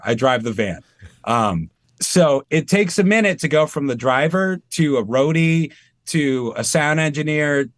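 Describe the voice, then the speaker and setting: A man's voice: medium pitch, no room sound. A man from Maine, a tribute performer talking casually and animatedly as an interview guest over a video call. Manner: chatty, animated, self-deprecating.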